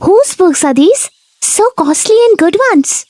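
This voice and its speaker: A woman voicing a devoted young wife, earnest and encouraging, in an animated moral story. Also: very high voice, unhurried. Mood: curious. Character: young devoted wife, gentle, eager, supportive.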